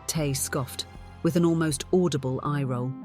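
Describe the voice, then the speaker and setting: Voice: medium pitch, fairly even intonation, normal speed, over music. A narrator reading scripted fiction for an audiobook.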